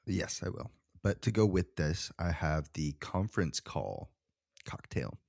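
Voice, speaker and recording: A man's voice: deep voice, big pitch swings, unhurried, creaky, darkish tone, no room sound, studio quality. A man co-hosting a podcast in casual, slangy, informal chat. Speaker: podcast co-host.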